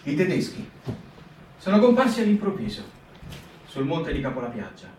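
A man's voice: a little high, sing-song, moderate pace, a little mellow, slight background noise. A man giving a performed literary reading, telling the story expressively and dramatically. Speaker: reader. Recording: roomy live stage, distant mic. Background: guitar music.

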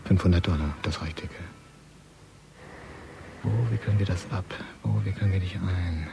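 A man's voice: deep voice, unhurried, vocal fry, warm tone, slight background noise. An actor playing a cold, calculating criminal man who mutters his scheming thoughts in clipped, sneering phrases in a radio play. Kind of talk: radio play interior monologue. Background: music.

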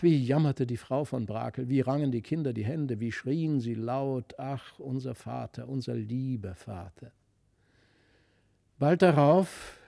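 A man reads a literary fairy tale as narrator. His voice is dark and mellow, and his delivery is expressive and solemn. The studio sound is very dry. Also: fairly high pitch, dry recording. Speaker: audiobook narrator.

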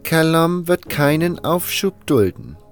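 An actor playing a demanding camp boss who speaks in a formal, authoritative way. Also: male voice, on the high side, sing-song, slow pace, faint music behind, heavily acted. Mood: stern, insistent.

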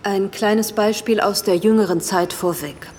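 A woman playing a composed professor who gives a formal, measured, didactic briefing in a radio drama. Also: medium pitch, steady tone, a little mellow, slight background noise, close to an everyday voice. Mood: serious, explanatory. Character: composed female professor briefing government ministers.

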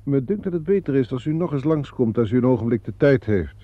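A man's voice: mid-range voice, lively intonation, quick pace, close to an everyday voice. An actor playing a commanding, exasperated theatre man in a radio play, brusque and authoritative. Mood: businesslike. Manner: brusque, authoritative, takes charge. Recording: dull, muffled old radio play recording.

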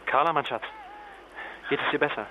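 An actor playing a caring, worried husband who soothes his wife with gentle, brief questions in a naturalistic radio phone drama. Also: fairly high pitch, fairly quick, lightly acted. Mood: concerned, practical. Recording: very noisy, dull radio-play phone scene.

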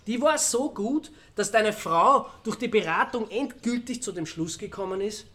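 An actor playing a wry Austrian amateur sleuth in a radio crime drama, needling and reasoning aloud with sardonic calm. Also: male voice, high voice, moderate pace. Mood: sardonic, explaining.

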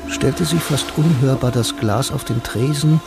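An actor playing a lonely, wistful noir romantic who narrates his own story in a measured, deadpan way for a radio play. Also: medium pitch, vocal fry, loud music behind the voice. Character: blind lonely bank clerk, film-noir romantic, dry and wistful. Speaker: first-person narrator and lead.

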